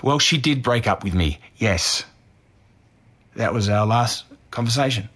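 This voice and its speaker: A man's voice: medium pitch, animated, a little slow, fairly bright tone. Young aussie guy in his 20s, defensive, earnest, slangy, like hes being interviewed as a suspect. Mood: earnest, pained. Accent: Australian. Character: defensive young Australian man, a murder suspect giving an interview. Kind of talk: naturalistic audio drama acting as an interview.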